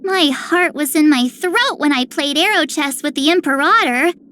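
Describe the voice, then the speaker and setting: An actress playing a sweet, earnest little child hero in a game, excitable and childlike. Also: female voice, high voice, normal speed. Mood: proud, eager. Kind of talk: scripted game character lines.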